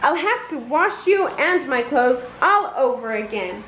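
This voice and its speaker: Woman acting friendly male cartoon mouse, high-pitched, coaxing, mildly scolding, storybook character, roomy echo. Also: big pitch swings, measured pace, a bit bright, heavily acted. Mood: bright, instructing. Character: friendly male cartoon mouse, patient, easily exasperated dog owner. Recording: roomy echo, noticeable background noise.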